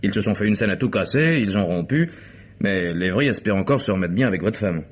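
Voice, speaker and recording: An actor playing a wry, unflappable private detective with a sardonic, measured delivery in a French radio crime drama. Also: male voice, on the low side, lively intonation, breathy edge. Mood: matter-of-fact. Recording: dull, noisy old radio recording.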